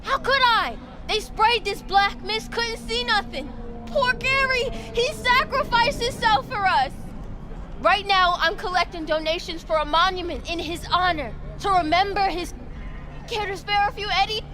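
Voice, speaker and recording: An actress playing a fervent, paranoid devotee of a street prophet in a video game, agitated and zealous. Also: female voice, extremely high pitch, measured pace, loud music behind the voice, over a noisy crowd. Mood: distraught, indignant, then pleading. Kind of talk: dramatic video game voice acting.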